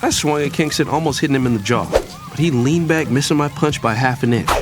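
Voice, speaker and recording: A man's voice: mid-range voice, fast talker, lightly acted. A young man acting as a playful, idealistic younger brother, narrating his own story in a scripted audio drama. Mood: reflective, storytelling. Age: young adult. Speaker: narrator and character. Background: music.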